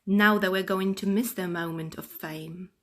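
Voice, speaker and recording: A woman reading a children's story aloud in an expressive, dramatic storytelling style. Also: on the low side, moderate pace.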